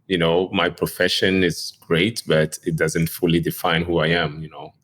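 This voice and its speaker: Deep-voiced Ghanaian-born man, easygoing. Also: flat delivery, normal speed, a bit bright, breathy edge. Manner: chuckles often, fillers like "you know" and "um". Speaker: neurosurgeon guest. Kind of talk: relaxed conversational interview.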